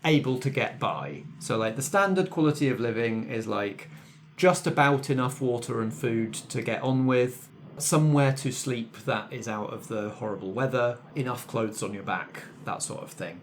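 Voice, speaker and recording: A man with a mid-pitched voice acts as game master for a tabletop game. He explains the rules to the players in a conversational, informal way. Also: normal speed, a little hiss or hum.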